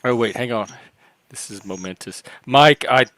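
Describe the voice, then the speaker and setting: A man's voice: mid-range voice, moderate pace, fairly bright tone, no echo. A man chatting casually on a group livestream in a musing, slightly bookish way.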